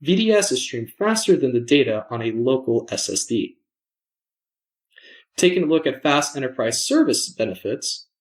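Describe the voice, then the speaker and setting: A man presenting a software demo in scripted narration, measured and explanatory, enunciating his words. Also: mid-range voice, poor audio.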